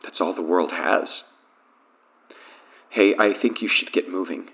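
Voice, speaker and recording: A doomed man confessing in a horror audio drama, lowish voice, bleak and fatalistic, sounds like a phone call. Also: unhurried. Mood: quiet, resigned. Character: doomed, guilt-ridden man enslaved by an evil power. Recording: tinny, band-limited phone line.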